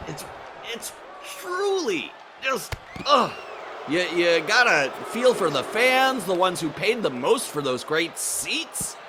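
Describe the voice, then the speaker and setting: A man riffs as a wrestling color commentator, sarcastic and mock-indignant. His high voice swings widely in pitch, over a noticeable hiss of background noise. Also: sing-song, slow.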